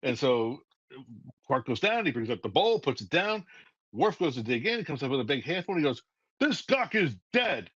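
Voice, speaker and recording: Man, writer guest, animated storyteller, dry room, muffled lo-fi audio. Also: medium pitch, fairly quick, slightly rough, no room sound. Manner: lively, acts out scenes with voices. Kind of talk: animated storytelling in a casual interview.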